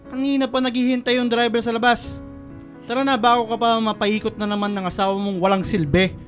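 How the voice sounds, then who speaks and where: A meddling Filipino in-law in a radio play, scornful and impatient. The voice is extremely high for a man, with music beneath it. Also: level tone, brisk. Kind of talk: Tagalog radio drama acting. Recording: music under the voice.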